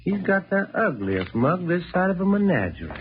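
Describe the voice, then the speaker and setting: An actor playing a cheerful, hot-headed detective with a folksy American Southern drawl in a 1940s radio drama. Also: male voice, high voice, very animated, moderate pace. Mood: needling. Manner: folksy, drawling, slangy grumbling. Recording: dull, noisy old radio recording.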